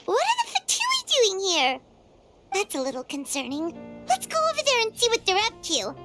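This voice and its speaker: An actress voicing a small floating fairy companion, curious and chatty, in a video game. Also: female voice, extremely high pitch, over loud music, full character voice. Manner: lively, quick to comment.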